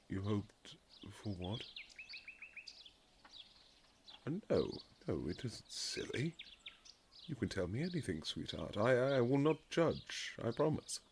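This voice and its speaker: A man playing a courtly Regency nobleman husband, tender and gently teasing, in a husky romantic roleplay with formal, old-fashioned phrasing. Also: a little hiss or hum, exaggerated voice. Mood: tender, hesitant. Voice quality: very low, husky, gravelly. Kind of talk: romantic boyfriend ASMR roleplay, period drama.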